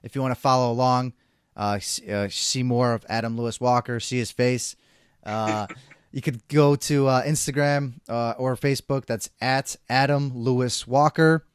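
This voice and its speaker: Brief: male podcast host, smooth resonant voice, upbeat, tripping over his words, dry close mic. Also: medium pitch, steady tone, normal speed, no room sound. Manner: upbeat, stumbling, repeats words. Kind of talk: casual interview hosting.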